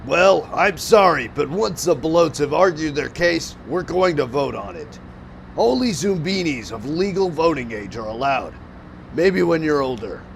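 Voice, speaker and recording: A man's voice: on the high side, expressive, a little slow, creaky, slight background noise. A man voicing a brusque, authoritative creature mayor with an official, commanding manner in an amateur cartoon audio drama. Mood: firm, patronizing.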